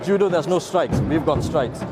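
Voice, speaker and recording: A man in his thirties, a martial arts instructor, talking quickly in an event interview and repeating words as he starts. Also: on the high side, expressive. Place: large sports hall, indoors. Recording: loud music under the voice.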